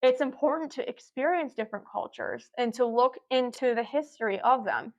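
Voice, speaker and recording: A woman's voice: fairly high pitch, fairly quick, dull audio. A young woman, a podcast guest, talking at length in a reflective, casual way. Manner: reflective, talkative, explains at length.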